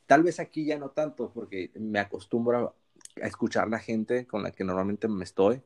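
A young man from Sinaloa with a Sinaloan Mexican accent, co-hosting a podcast and telling stories in relaxed, slangy chat. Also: on the low side, moderate pace. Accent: Sinaloan Mexican Spanish. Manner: relaxed storytelling, frequent slang fillers. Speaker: podcast co-host. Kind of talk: casual chat.

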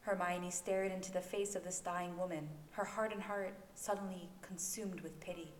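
Echoey low-voiced woman narrating a story. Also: steady tone, moderate pace. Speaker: fan fiction podfic narrator. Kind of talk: dramatic scripted story narration. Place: indoor room, amateur home recording. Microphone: new home recording microphone. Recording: echoey room, faint background noise.

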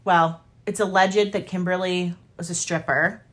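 A woman co-hosting a casual political commentary show. She talks conversationally at a middling pitch and leans on key words for emphasis. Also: steady tone, unhurried. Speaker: podcast co-host.

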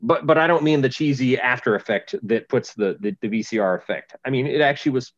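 A man's voice: mid-range voice, fast, no echo, dull audio. A man co-hosting a podcast, chatting casually and rambling with lots of restarts. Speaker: podcast co-host. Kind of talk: casual chat.

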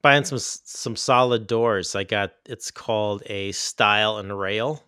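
A man on a casual podcast chat, laid-back and trailing off quietly at the ends of his phrases. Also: lowish voice, a little slow, smooth, no echo, studio quality. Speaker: podcast co-host.

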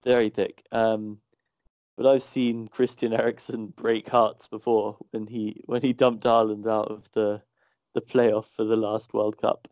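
A man with an Irish accent giving measured, analytical views in a casual football podcast chat. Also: fairly low pitch, level tone, dry recording, highs cut off. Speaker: podcast panelist.

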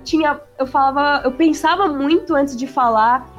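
A woman with a São Paulo accent chatting casually, full of slang and swearing, speeding up when she gets excited. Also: high voice, normal speed, dull audio, faint music behind. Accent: São Paulo Portuguese. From São Paulo, Brazil. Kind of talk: casual chat.

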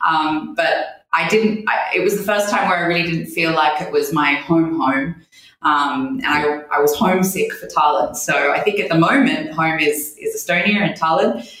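A woman in her thirties with an Australian accent, talking about her life as a guest in a relaxed, reflective interview. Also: low-pitched, normal speed. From New Zealand and Australia. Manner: relaxed, reflective, with light laughs. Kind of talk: casual interview conversation. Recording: very echoey room.

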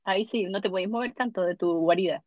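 A woman's voice: mid-range voice, fast talker, no echo, dull audio. A woman co-hosting a homemade podcast, from Venezuela and Chile, chatting casually from a small closet. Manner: chatty, laughs often. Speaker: podcast co-host. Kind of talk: casual chat. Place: small closet at home, at night.

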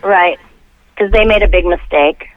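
A woman's voice: on the low side, measured pace, background noise. A middle-aged woman chatting casually and candidly as an interview guest over the telephone, with good humour. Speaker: interview guest, band singer. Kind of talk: casual chat. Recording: tinny, dry phone line.

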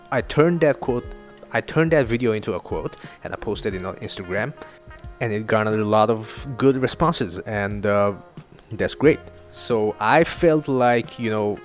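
A young man from Northeast India whose first language is Assamese, hosting his own podcast in a chatty, loose monologue full of swearing and self-mocking asides. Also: medium pitch. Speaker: podcast host. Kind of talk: casual solo podcast monologue. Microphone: home studio mic.

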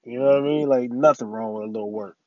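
A middle-aged man hosting his own podcast in a casual, rambling way, full of "you know" and "man", his voice a little raspy from allergies. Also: mid-range voice, quick pace, no echo, highs cut off. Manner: laid-back, rambling, many "you know" and "man" fillers. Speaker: podcast host. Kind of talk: casual solo podcast talk.

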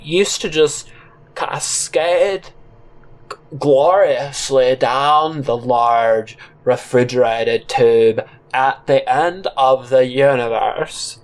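A man playing a disgruntled former fast-food worker, rambling with halting, deadpan earnestness in an audio drama monologue. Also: mid-range voice. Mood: earnest, aggrieved. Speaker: narrator and character in a solo audio drama.

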